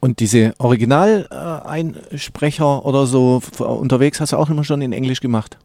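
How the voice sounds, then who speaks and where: Mellow male interviewer, rambling questions. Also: mid-range voice, normal speed, creaky, no room sound. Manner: rambling, rephrases questions midway.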